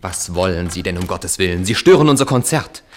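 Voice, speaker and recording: An actor playing an irritable, officious radio programme director in a 1960s German radio play, speaking in a clipped, exasperated way. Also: male voice, low-pitched, lively intonation, a little fast, a little hiss or hum. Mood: exasperated, agitated. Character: flustered, irritable male radio programme director announcing on air.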